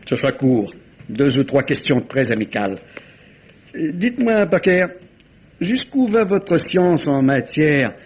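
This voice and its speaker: Shrewd belgian detective from old french radio play, kinda high husky voice, very swoopy, suave n teasing. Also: male voice, very animated, heavily acted. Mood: silky, probing. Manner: suave, teasing, deliberate phrasing. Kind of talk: 1950s French radio mystery acting.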